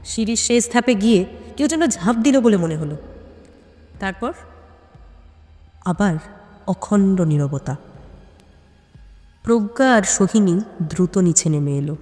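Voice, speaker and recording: Female storyteller, mid-pitched, for dramatic thriller narration with measured phrases and pauses, drenched in long reverb. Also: animated, dark tone, soft background music.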